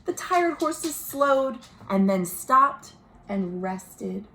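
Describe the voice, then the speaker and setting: Slow, higher-voiced woman reading to children. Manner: expressive storytelling with asides and questions to young listeners. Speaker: children's librarian hosting virtual storytime. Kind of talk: read-aloud picture book narration for children. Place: at home. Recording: noisy home recording.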